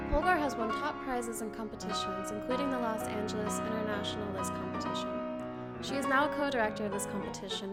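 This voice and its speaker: Quick, upbeat woman host over music. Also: mid-range voice. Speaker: interview host. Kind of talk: scripted intro narration and interview hosting.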